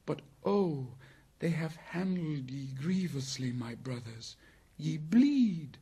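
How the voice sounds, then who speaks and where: A man's voice: a little high, expressive, slow, warm tone, husky, pitched above the performer's own voice, full character voice. A man voicing a small, plucky jungle boy who speaks earnestly in archaic storybook language. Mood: sore, concerned.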